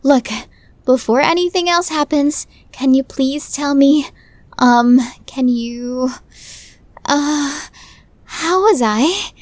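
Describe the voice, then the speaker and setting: A woman's voice: high voice, slow, dry recording. A woman playing a tiny, nervous, lovestruck young woman in an ASMR roleplay, stammering and flustered. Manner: stammering, flustered, breathy asides.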